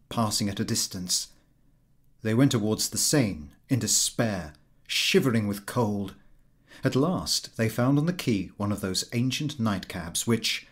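A man narrating a literary short story in a measured, dramatic manner. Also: on the low side. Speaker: audiobook narrator. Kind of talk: expressive scripted story narration.

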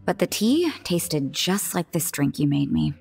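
Game nun: low voice, dry, deadpan, aloof and weary, soft music underneath. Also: female voice, measured pace, creaky, heavily acted. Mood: reflective, reserved. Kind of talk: video game cutscene acting.

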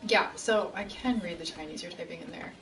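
A woman's voice: on the low side, normal speed, distant mic. A woman teaching a college class on a stream, chatting casually and answering chat questions from her couch at home. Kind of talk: casual lecture over gameplay. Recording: game music and sound behind her.

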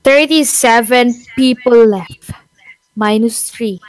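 A woman chatting casually and excitably while playing a mobile shooter. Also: a little high, slow, dry recording. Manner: excitable, laughing. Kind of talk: casual gameplay chat. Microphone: phone mic. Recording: dry close sound with game music behind.

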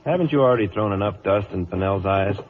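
An actor playing a blunt, sarcastic young cavalry lieutenant in an old radio drama. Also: male voice, lowish voice, fast talker, close to an everyday voice. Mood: blunt, scolding. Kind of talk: 1940s-50s radio drama acting. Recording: tinny, hissy vintage radio recording.

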